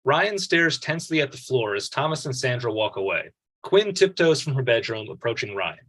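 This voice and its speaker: A man's voice: mid-range voice, sing-song, moderate pace, a bit bright. A man reading stage directions as scripted narration at a script table read.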